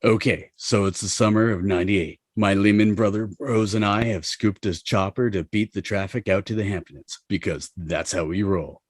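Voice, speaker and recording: A man's voice: fairly low pitch, steady tone, normal speed, hardly any pauses, dry recording, clean studio sound, natural voice. A man playing a cocky, easily frustrated finance-bro ghost in a table read. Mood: bragging.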